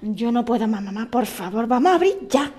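A woman's voice: a little high, lively intonation, a little fast, lightly acted. A woman playing an anxious daughter pleading with her mother in an audio drama. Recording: loud noise and clicks.

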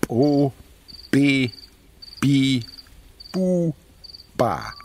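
A man's voice: medium pitch, drawn-out words, a little mellow, slight background noise. An actor playing an elderly insect researcher, an eager and wistful uncle, in a German radio drama, dramatic and savouring his words. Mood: nostalgic, animated. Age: older.

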